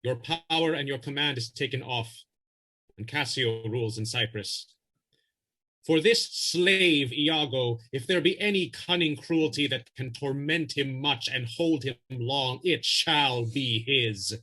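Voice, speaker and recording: A man's voice: mid-range voice, a little slow, sharp tone, low-quality recording. A man playing a stern, authoritative official in a Shakespearean audio drama, formal and commanding. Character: stern, authoritative Venetian male official passing judgment.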